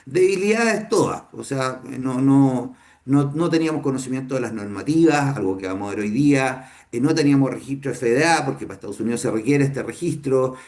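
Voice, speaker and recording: A middle-aged Chilean businessman teaching a class, speaking Spanish with a Chilean accent and often pausing with fillers and restarts. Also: mid-range voice, darkish tone. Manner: unhurried, thinking aloud with many fillers and restarts. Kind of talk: lecture to students.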